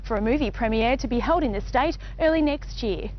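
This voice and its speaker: A woman's voice: a little high, animated, brisk. An Australian woman narrating a scripted television news report. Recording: dull audio with faint background noise.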